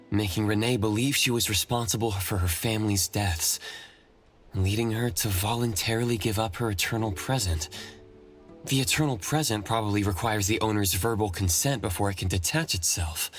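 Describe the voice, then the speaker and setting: An actor playing an earnest, caring young male investigator in an anime-style video game, clearly articulated. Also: lowish voice, fairly bright tone, lightly acted. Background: game music.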